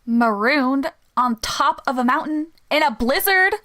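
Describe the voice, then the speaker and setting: An actress as a teenage girl in an old-time radio family comedy. Her high voice swings dramatically up and down as she complains, sulky and sarcastic. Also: expressive, unhurried, bright, forceful tone, full character voice. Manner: complaining, theatrical.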